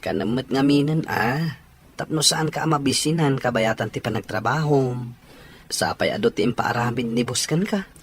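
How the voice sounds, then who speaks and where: A man playing a good-natured, animated character in an Ilocano audio drama. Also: mid-range voice, moderate pace, slight background noise, close to an everyday voice. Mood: friendly, attentive.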